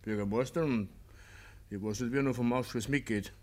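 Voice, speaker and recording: A man's voice: medium pitch, normal speed, close to an everyday voice. Village neighbour , male actor: Bavarian dialect radio drama, reluctant, conciliatory, apologetic, mid-range voice. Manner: hesitant, conciliatory.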